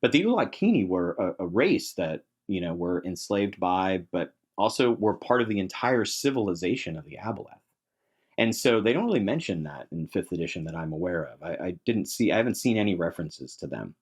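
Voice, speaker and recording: A man's voice: low voice, sing-song, a little fast, slightly rough. A man talking casually and enthusiastically as a podcast guest, with emphatic phrasing, in a discussion of tabletop game monsters.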